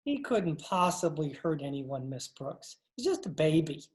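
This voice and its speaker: A woman's voice: low voice, big pitch swings, a little slow, darkish tone, roomy sound. A woman playing a mild, earnest male biology teacher in an old-time radio comedy, literal in manner, recorded over a remote call.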